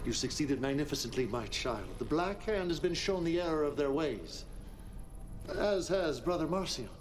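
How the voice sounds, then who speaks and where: A man playing a charismatic, menacing cult leader in a video game cutscene, speaking in deliberate, commanding oratory with theatrical pauses. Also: on the high side, expressive, distant mic, slight background noise, natural voice. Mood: coolly mocking.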